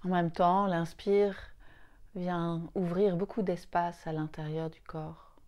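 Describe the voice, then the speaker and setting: Female meditation guide, low-leaning dark soft tone, soothing, very slow delivery in short phrases. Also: steady tone. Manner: soothing, unhurried, with long pauses between short phrases. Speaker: yoga nidra guide.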